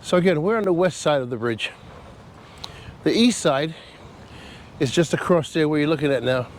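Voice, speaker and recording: A man sounding nasal and congested from a cold talks on a camera mic outdoors, rambling and chuckling at his own complaints. His voice sits a little high for a man, with faint traffic noise in the background. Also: lively intonation, normal speed. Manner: chatty, rambling, laughs at himself. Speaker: travel vlogger. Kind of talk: casual walking vlog. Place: outdoor park by a causeway bridge.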